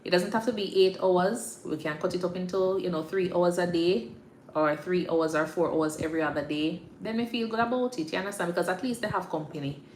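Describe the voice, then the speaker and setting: A woman's voice: lowish voice, fairly quick, darkish tone. A woman with a Jamaican accent chatting casually and informally on a livestream, often checking that listeners understand. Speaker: livestream host, nurse practitioner. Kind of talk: casual livestream chat to followers. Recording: echoey room with faint background noise.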